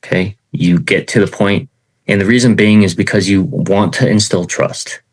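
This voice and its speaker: A middle-aged man teaching sales in an informal talk, in a self-described monotone, with many fillers and "okay" check-ins. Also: deep voice, moderate pace, low-quality recording. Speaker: sales trainer.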